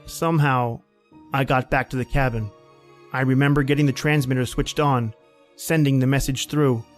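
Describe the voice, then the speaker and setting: A man's voice: medium pitch, steady tone, moderate pace, faint music behind, natural voice. A man playing a haunted, panicky man stranded in polar cold, telling his ordeal in an old-time radio horror style. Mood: numb, grieving. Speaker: podcast host and actor.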